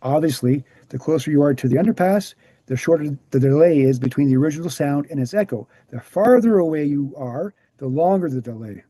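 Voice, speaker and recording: A man playing an earnest, weary veteran researcher explaining things in a measured way at a screenplay table read. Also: fairly high pitch, lively intonation, warm tone, dry recording. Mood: explanatory.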